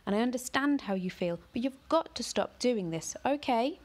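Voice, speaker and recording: A woman voicing an upset, tearful young girl, pleading in a high voice. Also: animated, normal speed.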